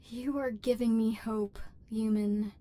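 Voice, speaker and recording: A lonely female robot overlord, acted by a woman for an ASMR roleplay, with a fairly high voice. She talks in formal, measured machine phrasing. Under the guarded manner she sounds wounded and yearning. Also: fairly even intonation, mellow. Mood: tender, tentative hope.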